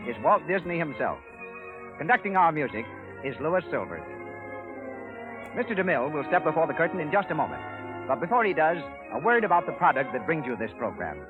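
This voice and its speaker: A man announcing and reading ads in a polished, declamatory 1930s radio style. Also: on the high side. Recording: tinny, band-limited 1930s radio broadcast. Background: music.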